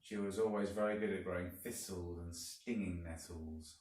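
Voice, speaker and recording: A man reading a children's story aloud like a teacher, deliberately and with dramatic emphasis. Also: low-pitched, steady tone, darkish tone. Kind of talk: expressive storybook narration. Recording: very echoey room, distant mic.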